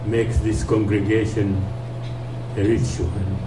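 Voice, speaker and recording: Hoarse older man speaking slowly. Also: on the low side, level tone. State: hoarse, losing his voice. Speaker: guest speaker. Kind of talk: informal speech to an audience. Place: small community hall. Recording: roomy hall, noisy, from far off.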